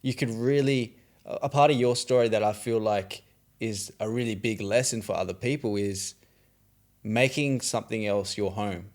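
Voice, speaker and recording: A man's voice: on the low side, normal speed. A man hosting a podcast, thoughtfully summing up and reflecting on his guest's story in an earnest way. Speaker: podcast host. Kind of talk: reflective interview commentary.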